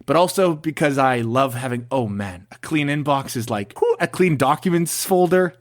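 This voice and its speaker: A man's voice: medium pitch, lively intonation, normal speed, no room sound. Casting note: young male podcast host, chatty and joking, dry close sound. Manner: chatty, laughs often, jokey asides. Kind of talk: casual chat.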